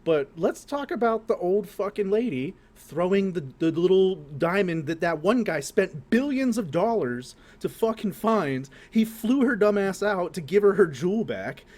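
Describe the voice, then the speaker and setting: Male podcast host, slightly higher register. Casual, crude, profane banter. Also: lively intonation, moderate pace, hardly any pauses, a little mellow.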